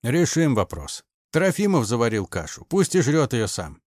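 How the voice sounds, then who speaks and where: A man's voice: mid-range voice, big pitch swings, moderate pace, darkish tone, dry recording. An irritable, cynical conspirator voiced by a male reader, curt and grumbling. The voice sits in a middle male register. It is an audiobook performance, recorded dry.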